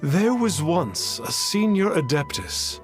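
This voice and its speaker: A man's voice: on the high side, very animated, takes it slowly. A man voicing a grandiose, self-proclaimed immortal sage in a video game, speaking in a pompous, archaic way. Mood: lofty. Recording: game audio with music behind.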